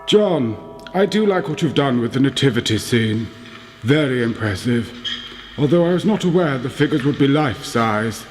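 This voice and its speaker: An actor in a radio play as a brisk, polite bishop with an authoritative, businesslike way of talking. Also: male voice, medium pitch, animated, soft background music, natural voice. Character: brisk, businesslike bishop proud of his technical crew. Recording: long church-like reverb, faint noise.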